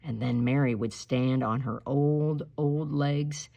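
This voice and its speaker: A woman reading a children's picture book aloud in an expressive, emphatic storytelling style, slowing on key words. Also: rumbling low, vocal fry. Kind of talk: expressive read-aloud storytelling with spoken asides.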